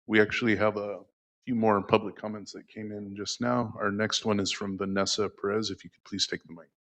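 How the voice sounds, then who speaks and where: A man's voice: lowish voice, level tone, fairly quick, fairly bright tone. A man working as a meeting clerk, politely announcing each public commenter.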